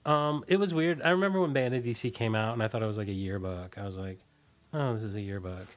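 A middle-aged man from Washington DC answering casually as an interview guest, tentative and halting. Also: on the low side. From Washington DC, United States. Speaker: interview guest, punk musician.

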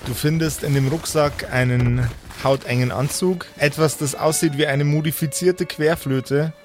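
A man's voice: fairly high pitch, fairly even intonation, moderate pace, faint music behind. A man narrating an improvised tabletop game as game master in a vivid, descriptive way.

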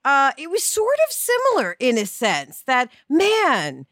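A woman's voice: sits high, huge pitch swings, a little slow, fairly bright tone, no room sound, clean studio sound. A woman co-hosting a conversational podcast, gushing and emphatic as she talks. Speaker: podcast co-host.

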